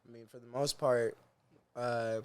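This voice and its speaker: A young man from the Seattle area sits in as a guest on a podcast. He talks in a loose, slangy way and keeps quoting what he told himself. His voice sits at an everyday middle pitch. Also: steady tone, unhurried, a little mellow, clear, resonant tone. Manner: laid-back slangy talk, quotes himself. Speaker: guest musician. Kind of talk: casual interview chat.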